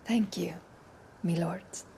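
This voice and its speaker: Slow, hesitant, timid commoner. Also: female voice, lowish voice, steady tone, dark tone, slight background noise. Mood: grateful. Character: timid, shaken common woman in dark fantasy. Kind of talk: dramatic video game cutscene acting.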